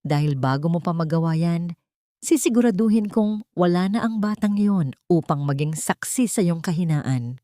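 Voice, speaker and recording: A woman's voice: lowish voice, expressive, normal speed, warm tone, no echo, close to an everyday voice. A woman with a Filipino accent playing a cold, ruthless rich matriarch, menacing and commanding, in a story melodrama. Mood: threatening.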